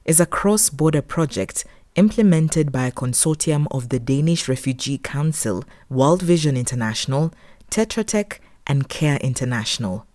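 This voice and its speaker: A woman's voice: low voice, expressive, moderate pace, a little mellow, dry recording, studio quality. A woman reading scripted documentary narration.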